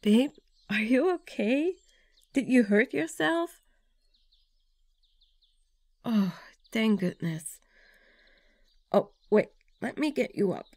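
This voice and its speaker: A woman's voice: on the high side, animated, takes it slowly, long pauses, a little mellow, no echo, heavily acted. A woman playing a nervous, affectionate young bride-to-be in an ASMR girlfriend roleplay, with a tender, intimate delivery. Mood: alarmed, scolding. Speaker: roleplay audio performer.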